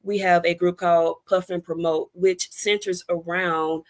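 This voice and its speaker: A woman's voice: fairly low pitch, level tone, unhurried, a little mellow. An American woman talking casually as an interview guest, explaining things in a chatty, conversational way. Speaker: interview guest, author and cigar expert.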